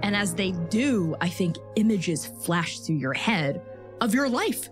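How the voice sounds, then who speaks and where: A woman's voice: mid-range voice, big pitch swings, a little slow. A woman narrating an improvised tabletop game as game master, describing the scene in a conversational way. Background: music.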